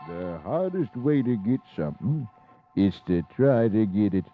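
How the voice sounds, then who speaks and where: A man's voice: fairly low pitch, big pitch swings, a little slow, voice pushed down. A man voicing a wise, folksy old storyteller with a drawl, in a 1950s radio program. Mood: wise, amused. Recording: muffled old radio recording, loud music behind.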